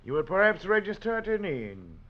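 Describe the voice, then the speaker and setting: An actor playing a sly, world-weary French detective, a smooth and taunting hunter of men, in a 1940s radio drama. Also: male voice, high voice, big pitch swings, a little fast. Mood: boastful, taunting. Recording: muffled old radio recording.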